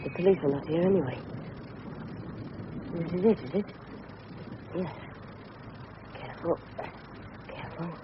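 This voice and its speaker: A muffled, very noisy old radio recording carries a high, youthful English voice. A male actor plays an earnest, plucky schoolboy in a period mystery drama. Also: unhurried, pauses often, natural voice. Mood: tense, determined.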